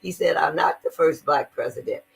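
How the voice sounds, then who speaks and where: A woman's voice: deep voice, moderate pace, vocal fry, husky. An older woman speaking earnestly in a conversational interview, stressing her points and often repeating words. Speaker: guest activist.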